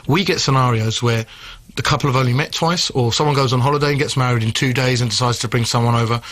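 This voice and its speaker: A man's voice: medium pitch, fast, fairly bright tone. A man giving blunt immigration advice on a radio phone-in, telling stories with animation. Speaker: immigration adviser guest.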